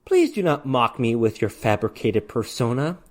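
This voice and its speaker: A man voicing a calm, stern male ghost hunter in a story reading, speaking formally and deliberately. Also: mid-range voice, lightly acted.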